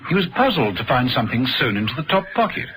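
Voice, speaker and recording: A man's voice: mid-range voice, big pitch swings, fast talker, fairly bright tone, slight background noise, low-quality recording. A man narrating a radio thriller in a dramatic scripted style. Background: music.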